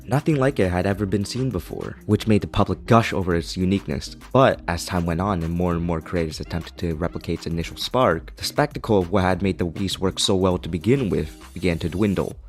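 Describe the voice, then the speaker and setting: A man's voice: low-pitched, fairly quick, few pauses, soft background music. A man reading a scripted video essay as its narrator, with an opinionated, critical manner.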